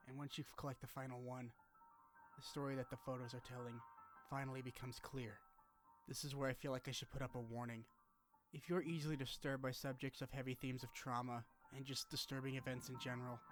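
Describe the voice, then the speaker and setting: A man delivers scripted narration in the manner of a video essay on a game's story. His voice sits at a middling pitch and stays very even, with little melodic movement. Music plays clearly behind him.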